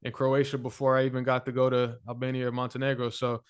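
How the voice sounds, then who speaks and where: A man's voice: medium pitch, monotone, fast talker, clear, resonant tone. A man speaking as a podcast guest, laid-back and candid in casual talk. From United States. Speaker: guest musician.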